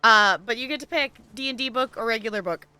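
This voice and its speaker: Chatty female streamer, fairly high and bright, casual gameplay commentary, stop-start delivery. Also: quick pace, smooth. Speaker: video game live streamer. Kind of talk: casual livestream chat over gameplay.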